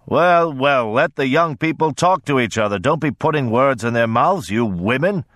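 A man's voice: medium pitch, expressive, moderate pace, a bit bright, no echo, clean studio sound, voice pushed up, close to an everyday voice. A man voicing a brassy, bullying old grandfather lord in an audiobook, boastful and mocking in manner. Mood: jovial, goading. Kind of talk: audiobook character voice.

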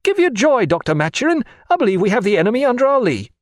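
A man voicing an eager middle-aged man who speaks with quick excitement. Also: very high-pitched, no echo, studio quality, voice pushed up.

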